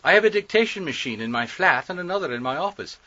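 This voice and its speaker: An actor playing a testily polite gentleman under suspicion in a radio mystery, speaking in a formal, clipped, evasive way with a husky voice. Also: fairly high pitch, sing-song, quick pace, muffled recording, exaggerated voice. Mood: testy, polite. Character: stiff, guarded gentleman murder suspect, testily polite. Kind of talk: scripted radio mystery acting.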